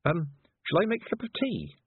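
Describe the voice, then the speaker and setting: A man's voice: high-pitched, lively intonation, a little fast, creaky, slightly rough, dry recording, pitched above the performer's own voice, exaggerated voice. A man voicing a nervous, meek teenage girl witch in a storybook reading, hesitant and full of ums. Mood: apologetic. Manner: hesitant, starts sentences with um. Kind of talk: audiobook character voice. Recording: muffled narrow-band phone-like sound.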